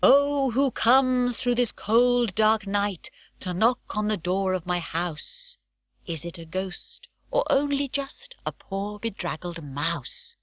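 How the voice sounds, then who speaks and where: A woman voicing a bold, cheeky young girl in a storybook reading, loud and teasing. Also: mid-range voice, lively intonation, slow, dry recording, clean studio sound, voice pushed up. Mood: mock-spooky. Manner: loud, teasing, mock-spooky.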